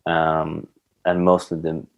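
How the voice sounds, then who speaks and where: Male interview guest, low-pitched, casual musician talk with hesitant word-searching. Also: completely flat delivery, takes it slowly, vocal fry, highs cut off. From Sweden. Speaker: band guitarist, podcast guest.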